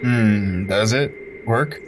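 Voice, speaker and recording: A man playing a nervous slow-talking young tagalong. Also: fairly low pitch, background noise. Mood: teasing. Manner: teasing, laughs easily, worried. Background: music.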